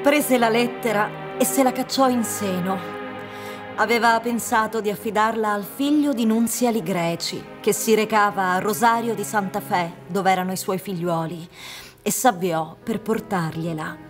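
A woman's voice: mid-range voice, moderate pace. A woman in her thirties giving scripted literary narration for a radio drama. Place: theatre stage set up for radio recording. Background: music.

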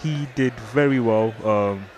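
A man's voice: medium pitch, a little slow, a little mellow. A man giving casual, hesitant live commentary on a fight. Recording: noisy event hall ambience.

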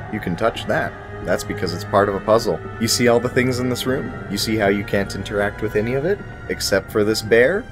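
Man, thirties, game reviewer, lowish voice, animated melody, scripted commentary, dry emphatic delivery.

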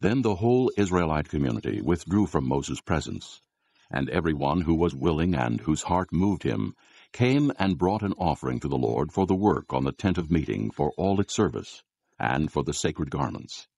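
A man narrating scripture as a scripted dramatized audio Bible, with a gravelly, husky voice and measured, solemn storytelling. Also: rumbling low, big pitch swings, darkish tone.

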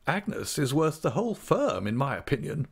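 A man's voice: a little high, big pitch swings, normal speed, vocal fry, husky, voice pushed up, heavily acted. A man voicing a stern older aunt in an audiobook, blunt, curt and scolding. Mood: brisk, confident. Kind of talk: audiobook character acting.

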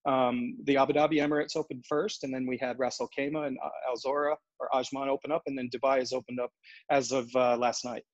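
A man in his forties, a golf operations executive, answering interview questions over a video call in a steady, businesslike way with frequent fillers and restarts. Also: mid-range voice, quick pace, dry recording. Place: home office in front of a brick wall. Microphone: earbuds on a video call. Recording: dull, dry video call audio.

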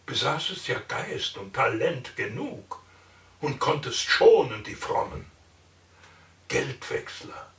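Older man, dramatic poetry reading, very slow, bright gravelly voice, echoey room. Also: mid-range voice, recorded from a distance. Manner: expressive, theatrical, varies loud and soft. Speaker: reader of a poem. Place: indoor room, plain wall.

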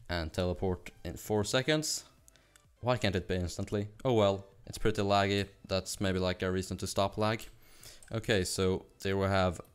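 Swedish guy talking fast over his game, lowish smooth voice, laughs a lot. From Sweden. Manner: fast, rambling, laughs often. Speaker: gaming YouTuber. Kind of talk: casual gameplay commentary.

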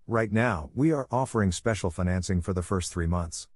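Low-voiced man reading a dry voice-over. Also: moderate pace, a little mellow, slightly rough, no room sound. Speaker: narrator. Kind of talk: scripted voice-over narration.

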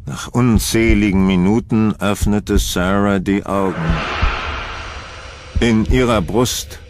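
A man's voice: lowish voice, takes it slowly, noisy background. A man giving scripted narration of a horror story.

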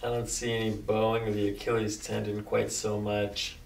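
A man's voice: fairly low pitch, level tone, normal speed, creaky, a little hiss or hum. A middle-aged man working as a chiropractor, giving short, reassuring instructions to a patient during an exam. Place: small carpeted chiropractic office.

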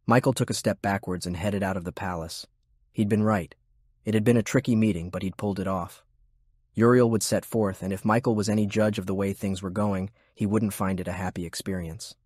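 Low-voiced man narrating, very dry. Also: fairly even intonation, fairly quick, a little mellow, no room sound. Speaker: audiobook narrator. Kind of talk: scripted narration.

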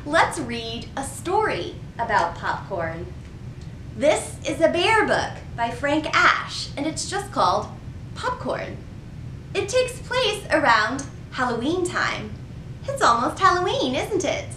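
A woman in her thirties hosting a children's storytime, reading aloud and teaching in an animated, deliberate, sing-song way. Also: sits high, a little hiss or hum, low-quality recording. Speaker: children's librarian and storytime host. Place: public library children's section.

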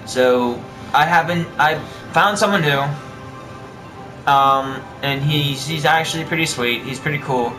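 A man's voice: on the high side, measured pace, music in the background, rough recording. A young man chatting casually in a bedroom into a camera a short way off, joking and swearing with a friend. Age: young adult. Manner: profane, joking. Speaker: vlogger. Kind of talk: casual banter. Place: small bedroom. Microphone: webcam or console camera a short way off.

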